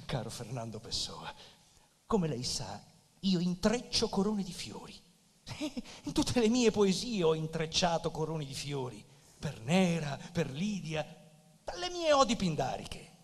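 A man acting on stage as a proud, courteous monarchist village doctor and poet, confiding in a deliberate, formal, theatrical way. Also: fairly high pitch, big pitch swings, husky, full character voice. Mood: courteous, wistful. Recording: hall reverb with guitar music behind. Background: live Portuguese guitar music.

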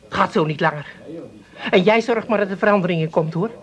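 An actress playing a fed-up, overworked working-class housewife in a comic radio play, scolding and complaining. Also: female voice, on the low side, fast talker, close to an everyday voice. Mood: fed up, resolute.

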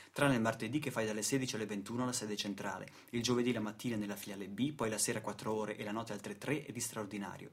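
A man acting as a sardonic, indignant storyteller in a radio theatre monologue, with a husky voice and rhetorical delivery. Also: lowish voice, lightly acted. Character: sardonic, indignant male storyteller attacking exploitation. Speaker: stage and radio actor.

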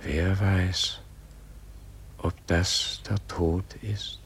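Dying old holy man, low voice, very slow and halting, gentle, resigned, husky, radio drama. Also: level tone, slight background noise. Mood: gentle, wondering. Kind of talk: radio play acting.

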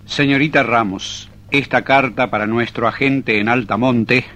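An actor playing an officious department chief in a comic radio drama, speaking in formal, measured dictation. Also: male voice, medium pitch, fairly even intonation, pushed and bright. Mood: bored, formal. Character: officious male tariff department chief, bored bureaucrat. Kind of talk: 1970s-80s comic radio drama acting.

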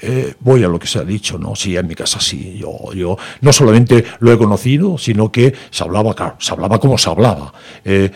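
Hesitant older man, low voice. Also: lively intonation, fairly quick, vocal fry, darkish tone, slightly rough. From Madrid, Spain. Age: 70s. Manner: hesitant, many fillers and repeated words. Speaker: interviewee. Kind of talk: conversational testimony.

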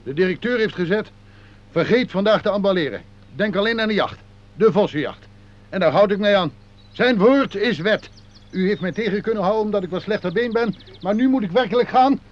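An actor playing a stubborn, literal-minded packer out hunting, obstinate and matter-of-fact, in a Dutch radio play. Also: male voice, high voice, expressive, a little fast. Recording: dull old radio recording.

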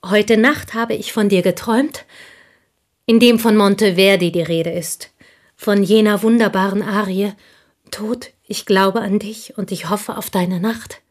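An actress playing a bitter, confessing village schoolteacher in an intimate German radio play. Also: female voice, medium pitch, fairly even intonation, unhurried, close to an everyday voice. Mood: fervent confession. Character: bitter, confessing French village schoolteacher, woman, jealous confidante.

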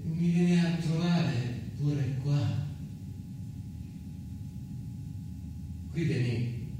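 An actor playing a confined, childlike southern Italian man with frayed nerves in a radio theatre monologue, confiding his memories in halting, stammered repetitions. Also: fairly high pitch. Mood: tender, troubled. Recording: roomy, echoing, distant and noisy recording.

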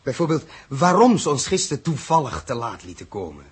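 An actor playing a suspicious, commanding space captain in a 1960s Dutch radio drama, clipped and confrontational. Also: male voice, mid-range voice, sing-song, fairly quick, breathy edge.

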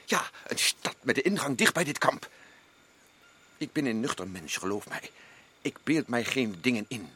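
A man's voice: medium pitch, animated, moderate pace, slight background noise. An actor playing an agitated, insistent male expedition member in a radio drama. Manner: urgent, rising to shouts.

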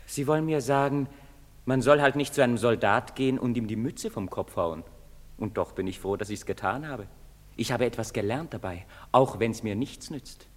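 An actor playing a restless, defiant young outcast in a sardonic, intense theatrical manner. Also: male voice, medium pitch, normal speed, lightly acted. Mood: bitter, restless. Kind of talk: theatrical stage-play acting. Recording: long reverberant hall sound.

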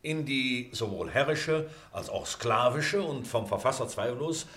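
A man's voice: medium pitch, measured pace, a bit bright, close to an everyday voice. An actor playing a middle-aged man at a class reunion, wordy, pedantic and wryly formal in an acted drama. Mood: mock-formal. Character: pedantic, wry middle-aged former pupil debating a poem. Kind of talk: acted radio-play dialogue.

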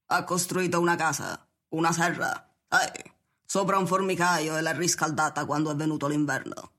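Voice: mid-range voice, fairly even intonation, normal speed, sharp tone. An actor playing a plainspoken, welcoming hill farmer woman in an Italian radio drama, chatty and rustic. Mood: gossipy. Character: Mrs Baxter, folksy pipe-smoking hill farmer woman, plainspoken and welcoming.

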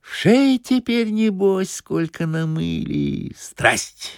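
A man's voice: high-pitched, expressive, takes it slowly, creaky, clean tone, no room sound, clean studio sound, voice pushed up, heavily acted. A man voicing a timid, grumbling old peasant with folksy, hesitant muttering, in an audiobook story. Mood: awed.

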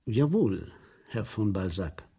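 An actor in a German radio play playing a gleeful, philosophizing sewer inspector, telling his macabre tale with husky, theatrical relish. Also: on the low side, very animated, slow pace, warm tone, full character voice. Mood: sly, composed. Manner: savoring, theatrical storytelling with mimicry.

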